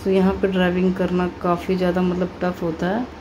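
A woman's voice: on the low side, monotone, a little fast, background noise. Chatty mother narrating a train trip. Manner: chatty, loose, mixes in English words and fillers. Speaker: travel vlogger and mother. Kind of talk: casual travel vlog narration.

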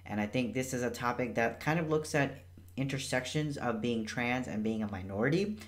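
A man's voice: mid-range voice, normal speed. A young man born in Bangladesh, a physician, giving a casual and earnest health explainer from a small room at home. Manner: casual, chatty and earnest, with emphatic repeats. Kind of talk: educational health vlog.

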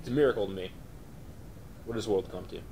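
A man playing a shaken, solemn police officer in an analog horror drama, speaking in a halting, matter-of-fact way. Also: a little mellow, lightly acted. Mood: shaken, grim. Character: grim, shaken male police officer investigating monsters. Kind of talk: analog horror found-footage acting. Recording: noisy, rumbling amateur recording.

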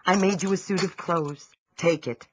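Husky, tender mother. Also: fairly low pitch, moderate pace. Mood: tender, practical. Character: tender, supportive farm mother. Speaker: actress in an audio drama.

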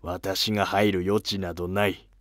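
A man voicing a weary, resentful senior court minister in an animated historical drama, with lofty, curt, archaic speech. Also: low-pitched, normal speed, no room sound, clean studio sound, voice pushed down. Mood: bitter, suspicious.

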